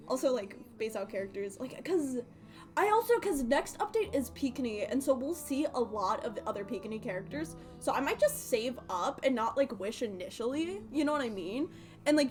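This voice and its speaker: Casting: woman game streamer, higher voice, playful rambling chat, faint music underneath. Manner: rambling, playful, breaks off mid-thought. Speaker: livestream host. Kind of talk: casual gaming stream chat.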